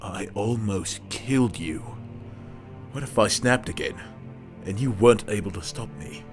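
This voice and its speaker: A man playing a grieving, guilt-ridden soldier in an audio drama, halting and subdued in his anguish. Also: on the low side, faint music behind, lightly acted. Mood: anguished, fearful.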